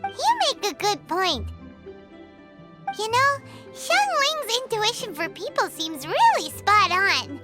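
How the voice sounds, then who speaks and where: A woman voicing a tiny, excitable, childlike fairy companion in a video game, with a squeaky, chirpy delivery. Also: slow pace, exaggerated voice. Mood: chatty, opinionated. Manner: chirpy, refers to self in third person. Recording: loud background music. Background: playful jingly music.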